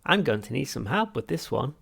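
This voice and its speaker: A man's voice: mid-range voice, big pitch swings, brisk, vocal fry, a bit bright, breathy edge, pitched above the performer's own voice, full character voice. A man voicing a relentlessly upbeat drink-dispensing machine in an audiobook, with a sing-song corporate manner. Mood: terse, baffled. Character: cheerful, relentlessly sweet drink-dispensing machine turning terse. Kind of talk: audiobook character voice.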